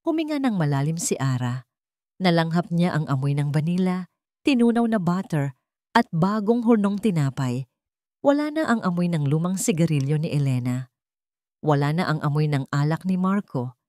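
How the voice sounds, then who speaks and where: Lower-voiced woman narrating a dramatic story. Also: big pitch swings, normal speed, warm tone, dry recording, studio quality.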